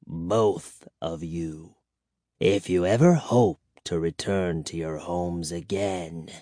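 A male narrator plays an ancient vampire count from a spooky storybook, cold and imperious. The voice is low and mellow, with words drawn out slowly in soft menace. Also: lively intonation, no room sound, studio quality, full character voice.

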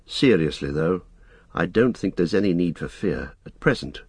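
Male narrator playing a courteous hobbit, audiobook character, earnest, gentle, measured, husky, close dry recording. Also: low voice, expressive, no echo, full character voice. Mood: thoughtful. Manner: kindly, measured, polite.

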